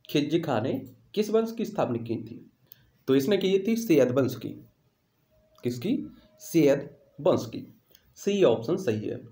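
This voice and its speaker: Echoey, mellow-voiced Indian male teacher. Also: a little high. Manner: instructional, repeats questions and answers. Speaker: teacher, online exam coach. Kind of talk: question-and-answer history lesson.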